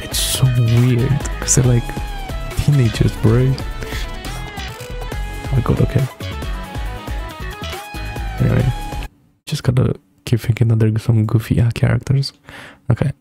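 A man's voice: mid-range voice, steady tone, a little slow, gravelly, long pauses. A young man giving casual, slangy reaction commentary as a streamer in a laid-back manner. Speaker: streamer reacting to game trailers. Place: small indoor room, streaming setup. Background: game trailer music.

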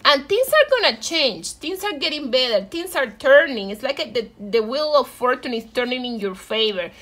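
A woman's voice: high voice, big pitch swings, normal speed, fairly bright tone. A woman from Venezuela with a Venezuelan Spanish accent, giving a casual, encouraging tarot reading and addressing viewers affectionately. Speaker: tarot reader.

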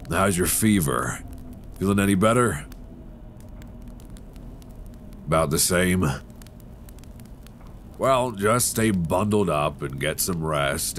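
Very deep-voiced, weary expedition survivor played by a man. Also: slight background noise, heavily acted. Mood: tired, caring. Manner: sighing, low-key, addressing one listener as friend. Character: weary, grim male survivor on icebound expedition ship. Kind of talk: horror ASMR audio roleplay, close intimate acting. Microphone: close binaural microphone. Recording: close binaural with steady storm-like ambience.

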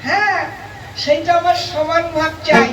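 A man as a high-pitched, cheeky young brother. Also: moderate pace, crowd noise. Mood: stubborn, demanding. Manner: whining, cheeky, obstinate. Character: cheeky, stubborn, jobless young bachelor brother, puppet. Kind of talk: folk comedy puppet-show dialogue. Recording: roomy echo, distant microphone.

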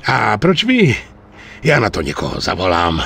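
A male actor in a dubbed video game, playing a paternal middle-aged mafia boss with a husky, gravelly voice and a patronizing manner. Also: lowish voice, huge pitch swings, fairly bright tone, exaggerated voice. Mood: jovial, paternal.

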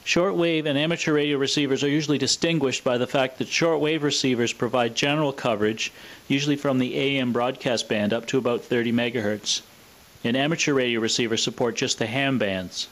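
A man's voice: mid-range voice, steady tone, a little fast, fairly bright tone, rough recording. Matter-of-fact man narrating a demonstration. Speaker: presenter of a vintage radio showcase video. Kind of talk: scripted narration, explanatory product demonstration.

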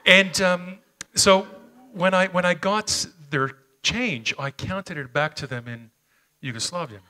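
A middle-aged preacher with a Canadian accent, raised speaking Slovenian at home, shares personal stories from a church stage. His voice is bright and forward, picked up by a headset mic. He talks earnestly and often stops to find his words. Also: on the high side. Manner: earnest, personal storytelling with frequent hesitations. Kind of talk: sermon. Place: church stage, medium-sized hall.

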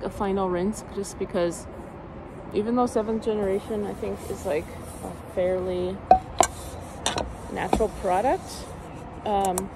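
Gravelly young woman chatting outdoors. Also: medium pitch, heavy background noise. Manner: chatty, thinks aloud with many fillers. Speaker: car-living vlogger. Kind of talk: casual vlog chat while camping. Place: outdoors in a forest campsite. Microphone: handheld phone camera at arm's length.

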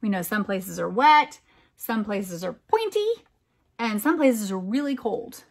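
A middle-aged American woman reading a picture book aloud to young children, with emphatic, deliberate phrasing and chatty asides. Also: mid-range voice, big pitch swings. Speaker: children's storytime reader, teacher.

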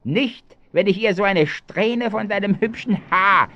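An actor playing a gruff, threatening caravan thief with a sneering manner in a German children's radio play. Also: male voice, high voice, a little slow, sharp tone, a little hiss or hum, full character voice. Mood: menacing, sneering. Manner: menacing, sneering. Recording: dull 1970s audio drama recording.

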